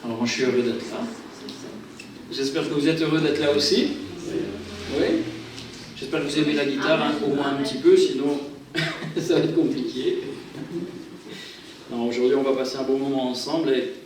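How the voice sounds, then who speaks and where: A man's voice: medium pitch, fairly even intonation, normal speed, pauses often, background noise. A man from France, a pastor and guitarist, talking casually to a church gathering, chatty and self-deprecating with many hesitations. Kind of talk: informal church talk introducing music. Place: church hall. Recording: very echoey hall, far from the mic.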